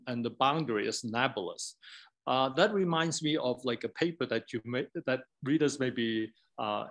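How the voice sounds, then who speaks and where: Male academic, medium pitch, halting and discursive, informal research discussion, peppered with "like" and "right?". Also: fairly bright tone.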